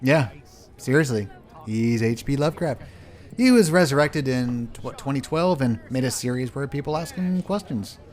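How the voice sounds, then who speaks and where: A man playing an easygoing, matter-of-fact family man with a casual deadpan delivery in a comedy web drama. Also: mid-range voice, normal speed, close to an everyday voice.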